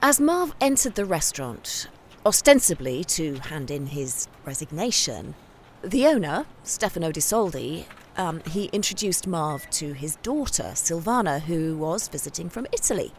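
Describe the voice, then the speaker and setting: Biographer interviewee actress, rather low, hesitant recollection, faint background noise. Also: female voice, very animated. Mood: thoughtful recollection. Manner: halting, hesitant. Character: female biographer recalling events in interview.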